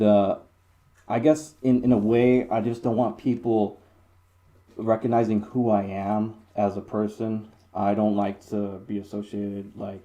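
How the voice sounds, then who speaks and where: Young male podcast guest, low dark voice, laid-back rambling storyteller. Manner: laid-back, rambling with restarts, bursts into high laughter. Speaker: podcast guest, security commander. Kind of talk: casual storytelling chat.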